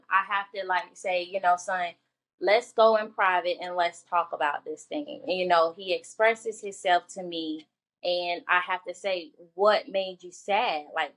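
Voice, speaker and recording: A soft-spoken woman guest telling her story earnestly in a casual chat over a video call. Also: on the low side, low-quality recording. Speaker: guest, mother of two.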